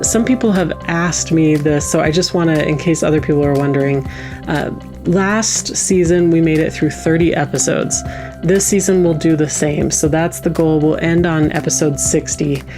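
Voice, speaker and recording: A woman hosting a podcast in an effusive, encouraging, chatty way. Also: deep voice. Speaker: podcast host. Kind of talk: warm, appreciative interview chat and sign-off.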